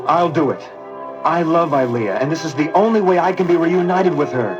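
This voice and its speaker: Guy playing earnest space officer, highish animated voice, emphatic, dull old record. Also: a little fast, lightly acted. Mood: determined. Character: earnest, impulsive adult male starship officer. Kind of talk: read-along record dramatization. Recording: dull vintage read-along record with music.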